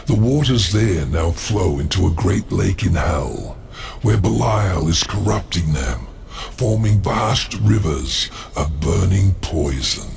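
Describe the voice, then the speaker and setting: Slow, gravelly demon lord. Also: male voice, deep voice, lively intonation, darkish tone, slight background noise, heavily acted. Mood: ominous. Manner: slow, menacing, formal. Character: sinister, contemptuous deposed demon ruler of hell. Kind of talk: video game cutscene acting.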